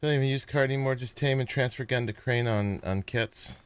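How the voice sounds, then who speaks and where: A man casually chatting to viewers as he plays and builds in a survival game, with a sleepy voice and a relaxed, rambling manner. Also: medium pitch, a little fast, clean tone, no room sound. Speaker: gaming streamer. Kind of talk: casual gameplay commentary.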